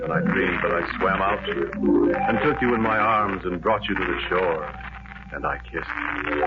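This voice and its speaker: An actor playing a lovelorn, haunted man in an old-time radio drama, speaking in a brooding, poetic, measured way on a noisy vintage recording. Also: sits low, muffled recording. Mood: tender longing. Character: lovelorn man haunted by a dreamed-of beloved, gone to war.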